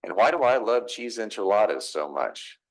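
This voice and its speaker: A man's voice: on the low side, big pitch swings, normal speed, low-quality recording. A middle-aged man from Texas with a Texas accent, preaching a reflective, conversational sermon in a church, asking his listeners questions. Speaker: pastor. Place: church sanctuary.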